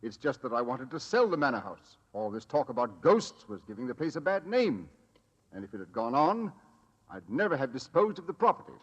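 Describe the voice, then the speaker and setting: Husky, indignant manor owner. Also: male voice, medium pitch, big pitch swings, a little fast. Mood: indignant, defensive. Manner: huffy, protesting. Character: indignant, defensive middle-aged manor owner, a father. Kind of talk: 1940s radio acting. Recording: muffled old radio recording, reverberant hall.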